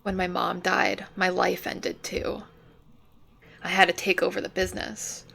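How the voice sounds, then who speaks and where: A woman's voice: lowish voice, vocal fry, fairly bright tone, full character voice. An actress playing a bitter young woman stuck running her family's hardware store, resentful and close to tears. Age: young adult. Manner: resentful, tearful, uses like as filler. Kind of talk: dramatic dialogue.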